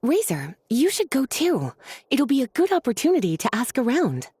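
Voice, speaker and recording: A woman voicing a kind mature teacher who speaks softly and patiently. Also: high voice, wildly swooping pitch, moderate pace, slightly rough, no echo, full character voice. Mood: caring. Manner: soft, patient, caring. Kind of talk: game voice acting.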